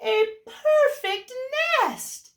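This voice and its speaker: A woman voicing excitable, pushy female farm birds in a storybook, with shrill, drawn-out exclamations. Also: heavily acted. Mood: amazed. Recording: roomy echo, distant mic.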